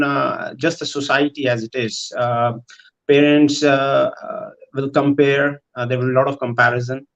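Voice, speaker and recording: A man speaking as a panelist in a thoughtful discussion, in a hesitant, halting way. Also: mid-range voice, highs cut off.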